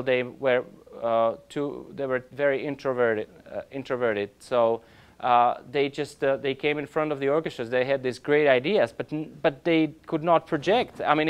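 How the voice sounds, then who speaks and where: A man in his thirties, an orchestra conductor, giving an informal lecture in a classroom, halting and restarting often but growing animated, recorded by a camera across the room. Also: mid-range voice, smooth. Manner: halting, many restarts and fillers, grows animated. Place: classroom with projector.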